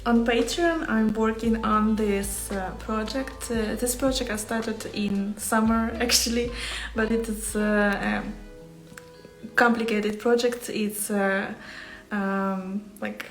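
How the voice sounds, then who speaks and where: Ukrainian accented lady chatting on a livestream, slow n hesitant, echoey room w music behind. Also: medium pitch, fairly even intonation. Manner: hesitant, searching for words. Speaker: embroidery artist hosting a livestream. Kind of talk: casual livestream chat to viewers. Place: small home workspace indoors.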